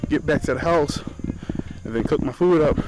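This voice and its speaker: A man's voice: on the high side, moderate pace. A young man talking casually and informally to camera as a fitness vlogger, rambling as he addresses his viewers. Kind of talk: casual talk to camera, vlog. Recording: noisy amateur vlog audio.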